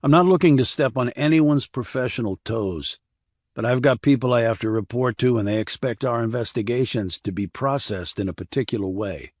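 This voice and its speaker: A composed military detective from a crime audiobook, acted by a male narrator. He speaks at a medium pitch, calm and businesslike, in a dry recording free of room sound. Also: fairly quick, dry recording, natural voice. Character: composed, businesslike male army criminal investigator.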